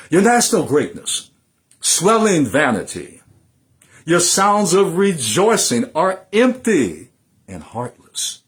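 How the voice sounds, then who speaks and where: Slow, dramatic American male orator. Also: on the high side. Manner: emphatic, deliberate, theatrical phrasing with dramatic pauses. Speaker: political analyst giving a dramatic speech reading. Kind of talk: oratory and dramatic reading of a historic speech.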